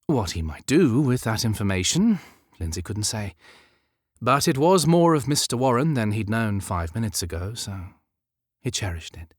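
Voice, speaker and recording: A man's voice: fairly low pitch, very animated, moderate pace, dry recording, clean studio sound. A man narrating a period romance audiobook as scripted fiction.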